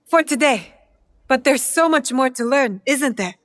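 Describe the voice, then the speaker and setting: Earnest, high-voiced young shinobi. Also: female voice, expressive, unhurried, fairly bright tone, dry recording. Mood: eager. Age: young adult. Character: young female shinobi, earnest, eager and determined. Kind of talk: video game cutscene acting.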